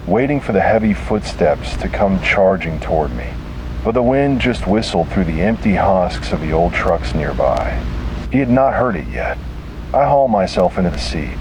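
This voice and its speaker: A man's voice: low voice, background noise. A man reading a scripted first-person horror story in a grave, dramatic way.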